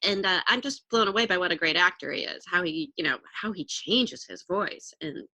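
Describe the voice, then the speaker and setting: A woman guest in a casual talk, measured and wry, chuckling now and then. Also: lowish voice, lively intonation, pushed and bright, poor audio. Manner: measured, wry, chuckles, says "um" and repeats words. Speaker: guest commentator. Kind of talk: casual conversational commentary.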